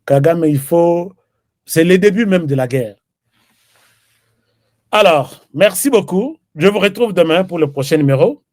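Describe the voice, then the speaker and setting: Casting: man, talk-show host, slightly high voice, dry close sound, loose chatty delivery. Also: lively intonation, a little slow, long pauses, a little mellow, no room sound. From DR Congo. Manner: chatty, switches between French and Lingala, reads viewer comments. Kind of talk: live political commentary show.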